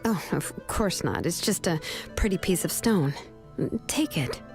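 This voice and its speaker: A woman voicing a laid-back, caring adult woman smith who speaks in a gentle, reassuring way. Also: on the low side, lively intonation, measured pace, soft background music. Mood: comforting. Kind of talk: video game voice acting.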